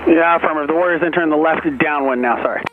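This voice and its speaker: Brisk high-voiced male tower controller. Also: narrow, tinny audio, music in the background. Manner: brisk, clipped instructions with relaxed asides. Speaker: air traffic controller. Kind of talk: radio air traffic control calls.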